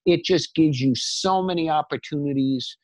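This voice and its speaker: A man's voice: a little high, normal speed, no room sound. A man co-hosting a casual talk about writing, rambling emphatically and acting out imagined thoughts as he encourages the listener. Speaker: podcast co-host and writer. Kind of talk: animated casual talk, motivational riffing on writing.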